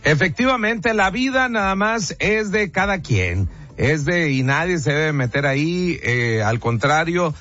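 A man's voice: a little high, animated, unhurried, few pauses, bright, forceful tone. A man with a northern Mexican accent hosting a casual call-in radio show, colloquial and repetitive, talking directly to his listeners. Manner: colloquial, repeats himself, talks directly to listeners. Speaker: radio host. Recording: dull lo-fi radio sound, music behind. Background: music.

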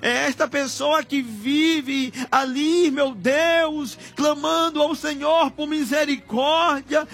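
A Brazilian man leads a fervent broadcast prayer in a very high-pitched voice. He pleads slowly and emotionally, often swelling toward a shout, with music playing underneath. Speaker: preacher leading prayer on a broadcast. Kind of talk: fervent extemporaneous Pentecostal prayer. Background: background music.

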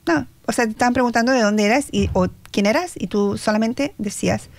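Chilean lady hosting a radio chat, talks easy and chatty, a bit of fry in the voice. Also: a little high, fairly quick, no room sound. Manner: chatty, warm questioning, many fillers. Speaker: radio host. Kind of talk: casual radio interview. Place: radio studio.

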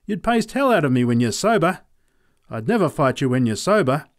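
A man voicing a wary dairy farmer who pleads in a high, nervous way. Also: very animated, fairly quick. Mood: pleading.

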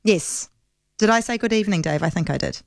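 A woman with a New Zealand accent hosts a radio phone-in. Her mid-pitched voice moves up and down animatedly as she chats with callers. The sound is dry and close, with little sense of a room. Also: expressive, fairly quick, creaky, dry recording. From Auckland, New Zealand. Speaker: talkback radio host. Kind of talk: chatty live phone-in talk.

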